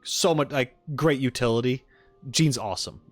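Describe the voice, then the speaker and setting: A man's voice: mid-range voice, moderate pace, no echo. A man chatting casually on a livestream as a streamer answering viewers, rambling and speculating as he goes. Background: music in the background.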